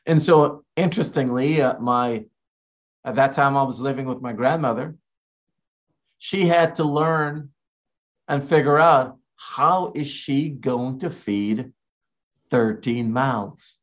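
A man from Guyana, an evangelist, preaching a sermon in a slow, deliberate way with emphatic rises. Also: medium pitch.

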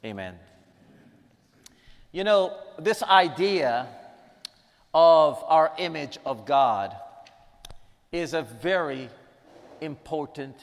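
A man's voice: a little high, lively intonation, very slow, gravelly, pauses often. A man from Guyana preaching a sermon and teaching a lesson, emphatic, repeating key lines for weight. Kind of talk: sermon with prayer. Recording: long reverb in a large hall.

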